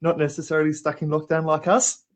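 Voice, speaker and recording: A man's voice: fairly high pitch, level tone, fast. A man appearing as a guest on a leadership interview, telling anecdotes, asking questions and laughing often. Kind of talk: casual interview over a live video call.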